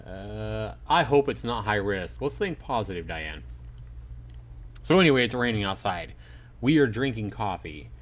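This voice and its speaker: Low-voiced man, casual game livestreamer. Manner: informal, joking, chatty with viewers. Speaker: livestreaming gamer reading a visual novel to chat. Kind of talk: casual livestream play-through commentary mixed with reading aloud.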